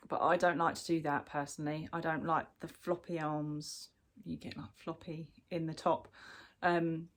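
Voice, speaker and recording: A woman from the UK chatting casually through a crochet work-in-progress update, sounding bunged up from a cold, with lots of ums and restarts. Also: low-pitched. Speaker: crochet vlogger. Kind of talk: casual show-and-tell chat.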